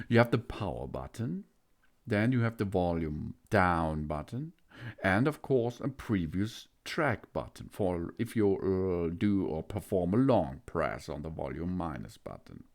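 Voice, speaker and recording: A man giving an unboxing walkthrough of a gadget, hesitant, with many filler pauses. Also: low voice, darkish tone. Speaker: product reviewer.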